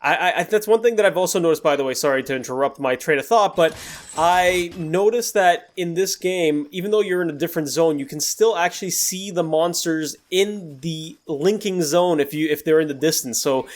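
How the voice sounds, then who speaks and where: High-voiced man doing casual game commentary. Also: moderate pace. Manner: apologetic, digressive, often backtracking to earlier points. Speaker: gaming video host. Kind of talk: casual gameplay commentary over recorded footage.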